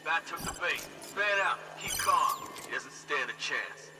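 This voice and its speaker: A man's voice: fairly high pitch, expressive, a little slow, over music. A man voicing a cocky, aggressive militia soldier in a video game, barking urgently. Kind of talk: video game combat chatter. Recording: distant, reverberant, loud mechanical noise.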